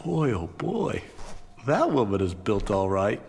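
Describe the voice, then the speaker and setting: Creaky grumbling sentry, very noisy. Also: male voice, fairly low pitch, sing-song, unhurried, heavily acted. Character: grumbling, leering bored male enemy sentry. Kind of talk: video game cutscene voice acting. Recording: very noisy, watery background sounds.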